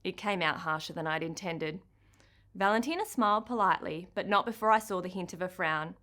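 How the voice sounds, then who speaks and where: A woman with an Australian accent, an author giving an expressive first-person reading of her children's book. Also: lowish voice, fairly quick.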